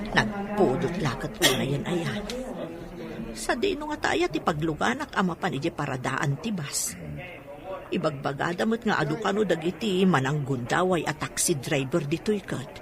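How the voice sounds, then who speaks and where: An actress with an Ilocano accent playing a thrifty, weary domestic helper in a comedy drama, given to exclaiming and complaining. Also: female voice, sits low, lively intonation, normal speed, a crowd in the background. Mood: weary, wary. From Philippines. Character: weary, thrifty domestic helper woman returning from Hong Kong.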